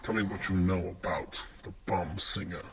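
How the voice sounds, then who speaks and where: A man's voice: sits low, fairly even intonation, normal speed, fairly bright tone. A man playing a hardboiled noir detective, telling his case in wry, dramatic rhyme. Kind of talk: rhyming film-noir parody narration. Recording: noisy homemade phone clips.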